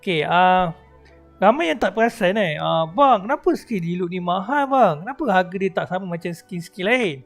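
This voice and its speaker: Malaysian guy streaming a game, pretty high voice, jokey chat w english bits, light music in back. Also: animated, a little fast, creaky. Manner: joking, teasing, mixes English into talk. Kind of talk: casual livestream chat and commentary.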